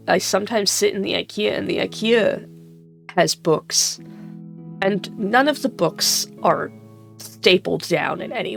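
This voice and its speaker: Gravelly-voiced woman reading a gamebook aloud. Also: mid-range voice. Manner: chatty and irreverent, swears and laughs at herself. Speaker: reader of an interactive gamebook. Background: background music.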